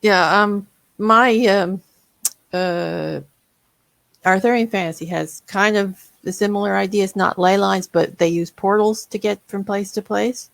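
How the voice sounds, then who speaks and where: A woman's voice: medium pitch, fairly even intonation, measured pace, creaky, dry recording. A woman moderating an online panel over a video call, talking casually with many fillers and restarts.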